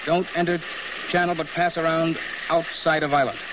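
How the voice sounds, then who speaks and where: A man's voice: fairly high pitch, little pitch movement, moderate pace, close to an everyday voice. An actor playing an obedient ship's crewman relaying messages in a clipped call, in an old radio drama. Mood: brisk, official. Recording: noisy old radio broadcast recording.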